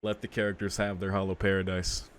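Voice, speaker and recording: A man's voice: deep voice, little pitch movement, brisk. A man doing a casual, reflective let's play of a visual novel, commenting and reading the text aloud. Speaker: streamer playing a visual novel. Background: game music.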